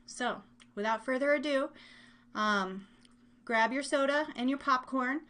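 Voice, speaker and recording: A woman's voice: sits high, a little slow, pushed and bright. A woman hosting a casual live online show, chatting in a playful, rambling way and teasing herself.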